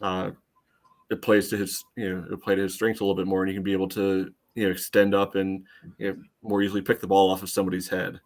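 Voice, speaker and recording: A man with an American accent and a deep voice breaks down soccer in casual podcast talk. His delivery stays level with little rise or fall. He thinks aloud, padding his points with "you know" as he works through them. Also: monotone, fast. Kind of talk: casual sports analysis.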